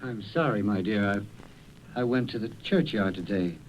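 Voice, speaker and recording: A slightly noisy radio drama recording holds a man acting a grieving, gentle father. His voice sits lowish, subdued and tender, halting as he talks. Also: natural voice. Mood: weary, tender. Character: gentle, grieving working-class father and husband.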